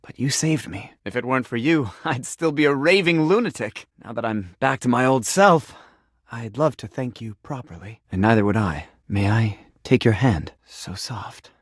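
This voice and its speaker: A man's voice: medium pitch, lively intonation, moderate pace, breathy edge, dry recording, studio quality. A man voicing a pompous, melodramatic talking sink in a video game, with the formal diction of a self-important claims adjuster. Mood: suave, flirtatious. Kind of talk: video game character acting.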